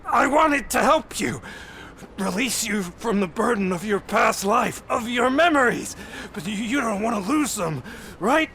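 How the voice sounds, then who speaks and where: A man playing a volatile, taunting criminal villain in a video game, gravelly and swinging between quiet menace and shouts. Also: high voice, animated, a little slow, slight background noise, exaggerated voice. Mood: manic, shouting.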